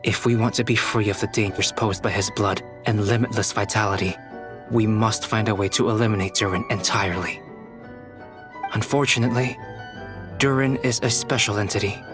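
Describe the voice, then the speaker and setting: An actor playing a calm, analytical young alchemist in a game, speaking in a measured, precise, formal manner. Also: male voice, on the low side, lightly acted. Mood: grave, explanatory. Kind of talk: scripted English game voice acting. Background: background music.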